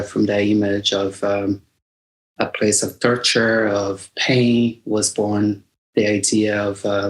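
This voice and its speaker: A middle-aged Brazilian man with a fairly low voice and a Brazilian Portuguese accent in his English. He is a singer-songwriter being interviewed, speaking conversationally and thoughtfully as he feels out his words. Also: rough recording. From Bahia, Brazil.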